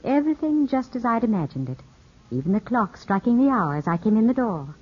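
An actress playing a gracious, gently teasing widow in a 1950s radio drama, speaking in a composed, courteous way. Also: female voice, a little high, big pitch swings, a little fast, breathy edge. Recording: muffled 1950s radio recording.

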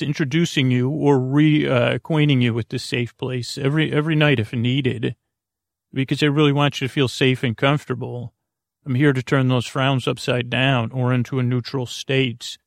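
Creaky-voiced man, rambling and halting. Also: medium pitch, steady tone, dry recording, clean studio sound. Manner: meandering and digressive, halting with stammers and repeated words. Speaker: podcast host. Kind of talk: rambling, improvised bedtime podcast monologue.

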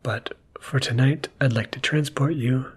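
A man hosting a bedtime podcast, narrating gently in a soft, half-whispered voice for relaxation. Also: fairly even intonation, a little slow, breathy edge. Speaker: podcast host. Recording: music playing behind the voice. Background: mellow music and low-key sound design.

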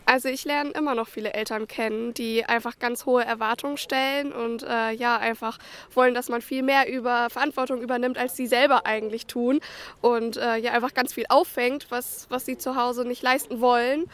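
A woman's voice: fairly high pitch, steady tone, moderate pace, smooth. A young woman training as a childcare worker, speaking frankly with some fillers. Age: young adult.

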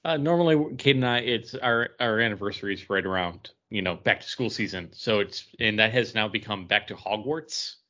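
Forceful, bright-voiced man chatting casually. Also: on the low side, a little fast, few pauses, highs cut off. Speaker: podcast host. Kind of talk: casual chat, telling anecdotes.

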